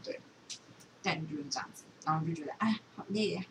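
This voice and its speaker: Low-voiced woman, distant and noisy. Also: a little fast. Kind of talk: casual chat. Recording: distant mic, clear background noise.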